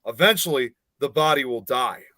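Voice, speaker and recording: A man's voice: medium pitch, normal speed, pushed and bright, dry recording. Chatty male podcast co-host, dry sound. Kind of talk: casual podcast chat.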